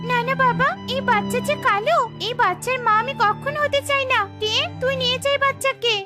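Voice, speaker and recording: A woman voicing a selfish, excitable female pigeon wife who wheedles, in a children's cartoon. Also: extremely high pitch, fairly quick, music in the background. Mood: scornful refusal. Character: shrill, selfish female pigeon wife, money-minded and callous. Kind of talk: animated children's cartoon dialogue.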